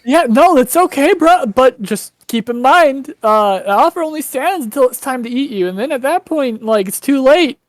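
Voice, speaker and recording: A woman's voice: high-pitched, lively intonation, moderate pace, hardly any pauses, dry recording, voice pushed up. A woman playing a sly spider boss. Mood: teasing. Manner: breezy, casual threats. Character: scheming spider circus boss, sly and menacing.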